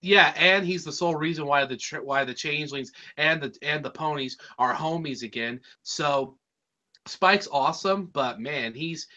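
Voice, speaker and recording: A man's voice: on the high side, normal speed, sharp tone, highs cut off. A man with a Californian accent, chatting casually as a reviewer, rambling and slangy. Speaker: reviewer, podcast host.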